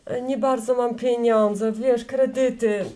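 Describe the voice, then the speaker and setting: Radio play part for a woman: self-sacrificing, timid grandmother of forty-something, fairly high voice, unsure and apologising. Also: fairly even intonation, slow, mellow. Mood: apologetic. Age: middle-aged. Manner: hesitant, apologetic. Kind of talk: audio play dialogue.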